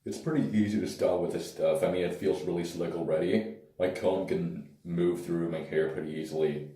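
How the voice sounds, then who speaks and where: A man with a hoarse, worn-out voice, casually reviewing a hair product in a relaxed, rambling way with hesitant fillers. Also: sits low, a little fast. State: hoarse, lost voice. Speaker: pomade reviewer. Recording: echoey room, mic at a distance.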